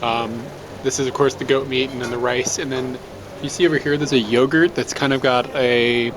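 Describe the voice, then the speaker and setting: A man's voice: medium pitch, fairly even intonation, moderate pace. Male travel vlogger, rambling step-by-step narration, noisy on-location camera audio. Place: public places while travelling.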